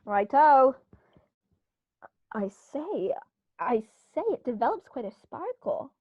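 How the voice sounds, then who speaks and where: A woman's voice: fairly high pitch, big pitch swings, slow pace, no echo, phone-quality sound, full character voice. A woman voicing an eager, naive upper-class English country squire who speaks in chirpy exclamations, in 1930s-style radio drama acting.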